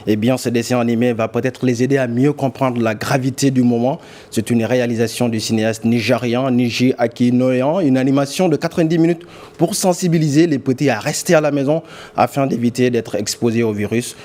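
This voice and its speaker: A man's voice: mid-range voice, fairly even intonation, brisk, warm tone. A man presenting news reports in a semi-scripted broadcast style. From Senegal.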